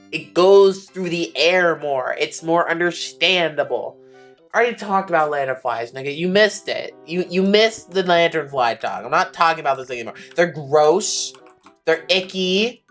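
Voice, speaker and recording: A young streamer with a slightly raspy voice, chatting casually and ranting animatedly, with playful insults. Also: mid-range voice, a bit bright. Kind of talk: casual rambling chat while streaming.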